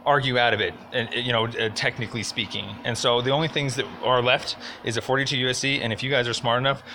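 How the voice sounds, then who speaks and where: A man talks rapidly in a forceful, bright voice, pressing a complaint in long legalistic runs. He is insistent and wordy. Light noise sits behind him. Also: mid-range voice, steady tone. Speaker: citizen making a complaint. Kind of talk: argumentative phone call and conversation.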